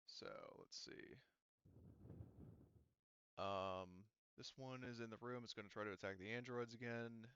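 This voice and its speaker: A man acting as game master in an online roleplaying session, thinking aloud and haltingly working through rules and dice. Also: on the low side, fairly even intonation, fairly bright tone, muffled recording, a little hiss or hum.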